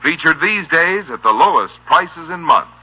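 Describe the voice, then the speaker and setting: A man's voice: a little high, expressive, moderate pace, bright, forceful tone, rough recording. An American man reading a 1950s radio commercial as an announcer, with polished, folksy salesmanship. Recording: old radio recording.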